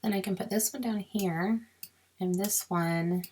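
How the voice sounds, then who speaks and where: A woman with a sore, scratchy throat, explaining a crafting software task step by step in a chatty way and muttering to herself as she clicks. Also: mid-range voice, fairly even intonation, unhurried. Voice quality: raspy with creaky fry. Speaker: crafting tutorial host. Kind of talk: screen-recorded software walkthrough.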